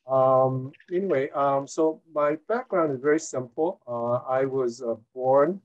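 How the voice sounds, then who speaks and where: Slow, measured older man. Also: mid-range voice, lo-fi sound. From Hong Kong. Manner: measured, with frequent "uh" pauses. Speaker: guest, former mayor and retired college teacher. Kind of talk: interview answer, recounting his career.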